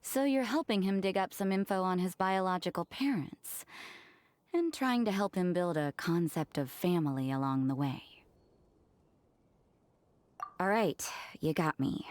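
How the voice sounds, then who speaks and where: A woman's voice: lowish voice, a little mellow, no room sound, close to an everyday voice. An actress playing a cool, sardonic young woman in a video game, with a dry, teasing, aloof manner. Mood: frank.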